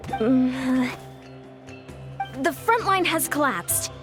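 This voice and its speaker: A woman playing a serious, thoughtful troop leader in a video game, speaking in an articulate, deliberate way. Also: sits high, expressive, music in the background. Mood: hesitant, reassuring. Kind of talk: dramatic video game voice acting.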